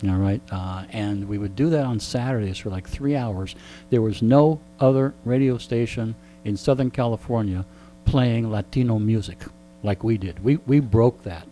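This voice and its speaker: A man in his sixties with a mellow, relaxed tone, speaking over an audible hum. He tells stories conversationally, as in a radio interview, with chatty asides to the listeners. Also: fairly low pitch, normal speed. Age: 60s. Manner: frequent throat clearing. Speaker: radio show host being interviewed. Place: radio studio. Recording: clear background hum and noise.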